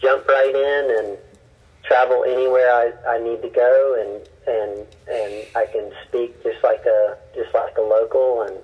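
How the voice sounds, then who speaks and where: A man with a husky, breathy voice in a middle register speaks as a missionary guest, recounting his experiences earnestly and often restarting his sentences. The sound is dull and lo-fi. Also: medium pitch, flat delivery. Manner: earnest, halting with many restarts. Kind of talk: personal storytelling in an interview.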